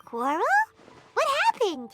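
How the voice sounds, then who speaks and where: A woman's voice: high-pitched, wildly swooping pitch, unhurried, loud music behind the voice, exaggerated voice. A woman voicing a tiny, childlike fairy companion in a video game, perky, curious and exclamatory. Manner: speaks of herself in third person, chirpy and exclamatory. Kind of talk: video game character dialogue.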